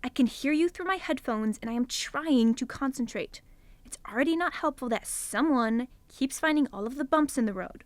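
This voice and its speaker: A woman's voice: sits high, animated, normal speed, no room sound. A woman playing a studious, easily annoyed young geologist in a student audio drama, curt and biting.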